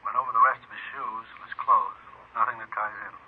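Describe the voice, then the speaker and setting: A man's voice: on the low side, steady tone, fast, a little hiss or hum, natural voice. An actor playing a factual police crime lab man in a vintage radio drama, reporting in clipped technical phrases. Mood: businesslike. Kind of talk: 1950s radio drama acting. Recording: tinny telephone-like old radio recording.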